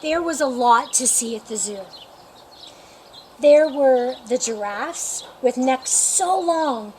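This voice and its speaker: High-voiced woman reading a children's story. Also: lively intonation, takes it slowly, a little mellow, slight background noise. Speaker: storyteller reading a picture book. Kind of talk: read-aloud children's storytime narration.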